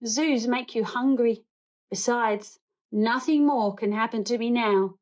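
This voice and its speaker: A woman's voice: a little high, measured pace, darkish tone, natural voice. A woman voicing a polite, innocent young male bear from a storybook, earnest and childlike.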